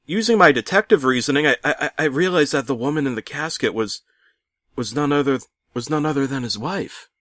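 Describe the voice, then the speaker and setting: Dramatic man narrating, swinging widely in pitch. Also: animated, fairly bright tone, no room sound, clean studio sound. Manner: dramatic, halting with stammered repeats. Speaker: narrator of a parody horror story. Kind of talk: scripted first-person creepypasta narration.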